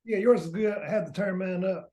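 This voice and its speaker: A man who is a guest on a video call, hoarse and raspy from a cold, chatting casually. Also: sits high, brisk, mellow. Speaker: guest husband.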